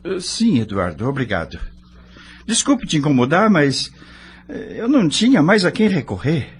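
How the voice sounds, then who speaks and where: A hugely expressive Brazilian husband played by a male actor in an audio soap opera. He is a beleaguered, defensive bank employee who protests theatrically, with faint noise behind him. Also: a little high, normal speed. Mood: embarrassed, downcast.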